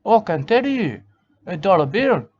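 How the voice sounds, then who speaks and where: A man's voice: sits high, very animated, a little slow, slight background noise. Rambling Australian man, lo-fi recording. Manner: rambling, repetitive, broken grammar, keeps saying "mate". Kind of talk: rambling comic monologue. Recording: dull lo-fi recording with faint ambience.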